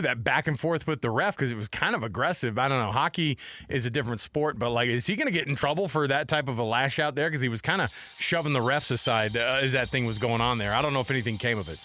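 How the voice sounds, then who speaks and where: A man hosting sports radio in a casual, rambling style, as if thinking out loud. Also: mid-range voice, no echo. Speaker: sports radio show host.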